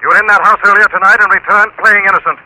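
An actor playing a stern, menacing, all-knowing invisible crime fighter in a classic radio drama. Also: male voice, high voice, steady tone, racing, bright, forceful tone, rough recording. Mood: accusing. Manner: accusing, ominous, commanding.

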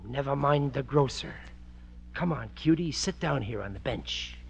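A man's voice: mid-range voice, animated, a little slow, creaky. An actor playing a blustering, stammering middle-aged American working-class husband and father in an old radio sitcom. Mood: coaxing. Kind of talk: 1940s radio sitcom acting. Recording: muffled, hissy old radio recording.

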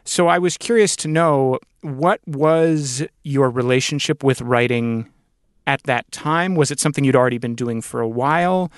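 A man hosting a podcast interview in a thoughtful conversational style, with wordy, deliberate and articulate phrasing. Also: mid-range voice, smooth, no echo, clean studio sound.

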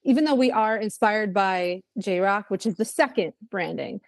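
A woman's voice: medium pitch, animated, moderate pace, creaky, dry recording. A young woman, a musician guest, chatting casually in an interview, rambling with lots of fillers and restarts.

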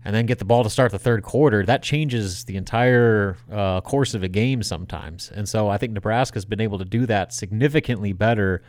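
A man's voice: on the low side, brisk, hardly any pauses, darkish tone, no room sound. A man giving conversational sports analysis as a co-host.

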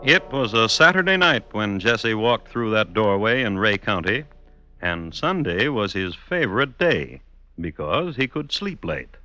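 A man hosting and narrating an old-time radio crime drama, deadpan and dryly ironic, with measured phrasing. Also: on the low side, muffled recording, very noisy. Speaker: host and narrator.

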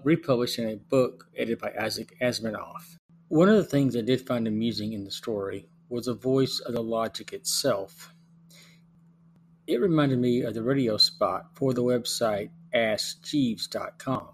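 A middle-aged man hosting a review podcast, reading scripted narration in a measured way. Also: mid-range voice. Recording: faint hum and background noise.

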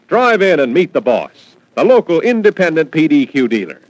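A man's voice: fairly high pitch, sing-song, normal speed, no room sound, low-quality recording. A man reading a scripted 1940s radio advertisement with polished, emphatic salesmanship. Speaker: radio commercial announcer.

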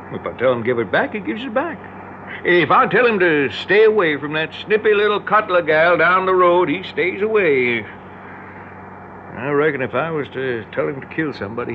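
A man's voice: fairly high pitch, lightly acted. Man acting a drawling rural Southern kidnapper, lo-fi. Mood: folksy menace. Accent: American rural Southern. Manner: drawling, folksy, colloquial talk. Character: folksy, menacing rural kidnapper, a doting father. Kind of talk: radio drama acting. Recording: dull lo-fi radio recording, hissy background noise.

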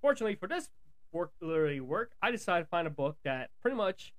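A man's voice: sits high, big pitch swings, fast. A man hosting a casual pop culture podcast, talking informally to his listeners. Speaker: podcast host.

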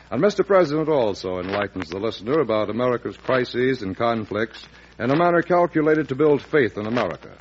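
A man announcing a vintage radio show from a script in a formal, declamatory manner. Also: mid-range voice. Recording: muffled, faintly noisy old radio recording.

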